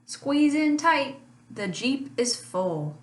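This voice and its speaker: A woman teacher reading a rhyming children's picture book aloud in a slow, sing-song way, with lively honks. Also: high-pitched. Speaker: family literacy teacher. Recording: echoey room, voice a bit far from mic.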